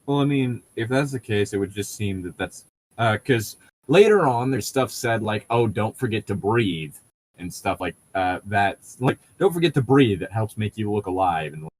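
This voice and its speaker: A man's voice: on the low side, animated, moderate pace, darkish tone. A man chatting casually as a co-host, explaining things in a rambling, nitpicky way. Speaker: podcast co-host reading and riffing on a novel. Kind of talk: casual banter and commentary.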